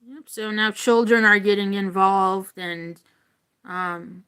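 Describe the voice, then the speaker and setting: A woman teacher reading a story aloud expressively and deliberately, with casual explanatory asides. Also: mid-range voice, steady tone, sharp tone, clear, resonant tone, poor audio.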